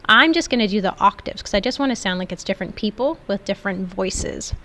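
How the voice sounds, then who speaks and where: A woman's voice: medium pitch, animated, fast talker, pushed and bright. A woman instructor giving an informal, step-by-step screen tutorial in an explanatory way. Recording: clearly noisy background.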